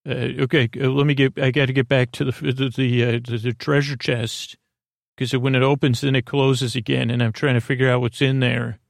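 Gravelly, meandering male podcast host. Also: medium pitch, little pitch movement, no room sound, clean studio sound. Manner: meandering, halting, repeats himself, goes off on tangents. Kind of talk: rambling bedtime podcast intro, casual monologue.

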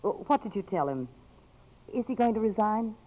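Quick-witted, composed career woman in midlife, played by an actress, vintage radio drama style, dull lo-fi sound. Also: medium pitch, expressive, natural voice. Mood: tender. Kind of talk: 1950s radio drama acting. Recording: muffled old radio recording, faint music.

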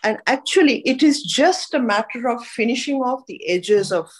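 A woman's voice: fairly high pitch, lively intonation, normal speed, slightly rough. A middle-aged or older woman from Sri Lanka, telling her story conversationally as a podcast guest.

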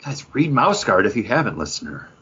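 A man with a husky voice and very lively intonation, speaking as a casual podcast co-host. He voices his own reactions mid-sentence. The recording is a little dull. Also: medium pitch, brisk. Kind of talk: casual chat about comics and TV.

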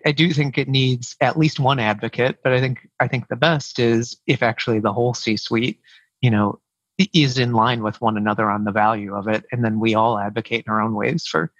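A man talks through interview answers, often pausing and restarting his sentences. His voice sits dry and close with no room around it. The audio is a bit dull, as if through a modest mic. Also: medium pitch, a bit bright, no echo. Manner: hesitant, many fillers and restarts. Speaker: head of sales, podcast guest. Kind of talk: conversational interview.

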